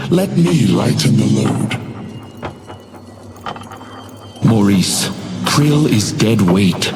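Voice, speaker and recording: A man voicing an uncanny, suavely menacing hotel doorman in a video game, theatrical and unctuously polite, with insinuating courtesy. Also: fairly low pitch. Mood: grave, coaxing. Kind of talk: scripted video game character lines. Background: music.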